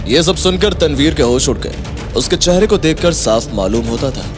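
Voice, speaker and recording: A man's voice: fairly high pitch, lively intonation, moderate pace. A man delivering dramatic scripted narration for an audio story. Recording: loud music behind the voice.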